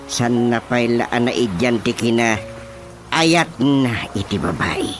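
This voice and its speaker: An actor with a Filipino accent playing an excitable, teasing village chairman in a radio drama comedy. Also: medium pitch, takes it slowly. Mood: amused. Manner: animated, exclaiming, teasing. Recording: radio drama with music underneath. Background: clear music.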